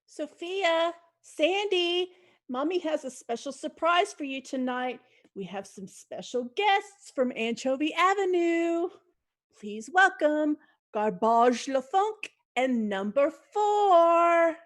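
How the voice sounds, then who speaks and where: A woman's voice: high voice, expressive, takes it slowly. A woman playing a cheerful mother who presents a puppet show to her children. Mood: showy, cheerful.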